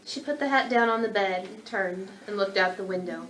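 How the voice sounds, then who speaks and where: A roomy recording with faint noise behind a woman narrator. She reads literary prose at a middling pitch, as scripted narration. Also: normal speed, fairly bright tone.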